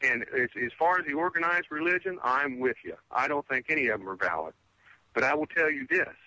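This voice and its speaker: A man's voice: medium pitch, animated, a little fast, bright, forceful tone, poor audio. A man in his sixties calling in to a talk radio show, ranting emphatically and stammering over his words. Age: 60s. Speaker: caller. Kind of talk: phone-in talk radio call.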